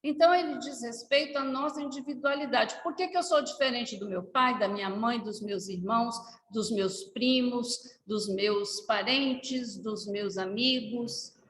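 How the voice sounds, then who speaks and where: Slow-speaking woman in an echoey hall. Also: fairly high pitch, a little hiss or hum. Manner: gentle, explanatory, with light asides. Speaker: spiritist lecturer. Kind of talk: unhurried didactic talk to a congregation. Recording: echoey hall with long reverb.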